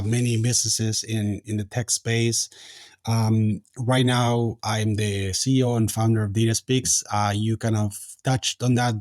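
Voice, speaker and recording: A man's voice: on the low side, darkish tone, no echo, clean studio sound. A man in his forties from Argentina, answering interview questions in a hesitant way with many fillers and restarts. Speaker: podcast guest, company founder.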